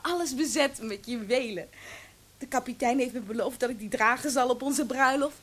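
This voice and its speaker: Female shrewd, saucy innkeeper in radio play; high voice, teasing, self-assured. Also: moderate pace, a bit bright. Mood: defiant. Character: saucy, warm-blooded, shrewd female tavern keeper. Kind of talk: Dutch radio play acting.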